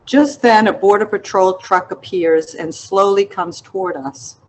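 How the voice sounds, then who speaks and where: A woman reads from her own literary memoir at a slow pace. Her voice sits at an average pitch for a woman. The delivery is deliberate and reflective, as an author presenting an excerpt. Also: poor audio. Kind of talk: literary memoir narration, read aloud.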